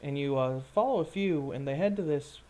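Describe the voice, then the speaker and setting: A man's voice: fairly high pitch, a little fast, darkish tone, low-quality recording. A man improvising narration as game master of a tabletop game, in a casual, meandering way.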